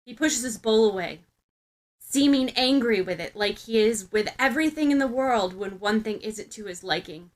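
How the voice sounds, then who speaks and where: A woman reading fiction aloud as an expressive podfic narrator. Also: on the high side, normal speed.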